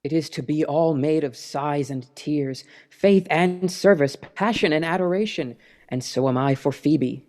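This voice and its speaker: A woman's voice: deep voice, measured pace, darkish tone. A woman playing a humble, devoted, lovesick young shepherd in a Shakespearean radio play, earnest and sighing. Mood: adoring. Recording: long echoing reverb.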